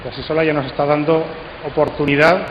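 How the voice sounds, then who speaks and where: Higher-voiced man speaking in echoey hall. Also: little pitch movement, a little fast, dull audio. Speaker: congress speaker leading a discussion. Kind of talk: conference talk to an audience. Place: conference hall. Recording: echoey hall, faint noise.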